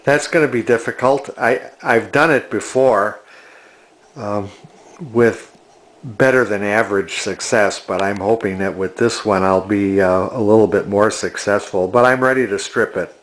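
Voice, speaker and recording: An older man with a fairly low voice talks through a hands-on project. He is plainspoken and matter-of-fact, often halting as he casually explains each step. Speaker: antique restoration hobbyist demonstrating a refinishing project.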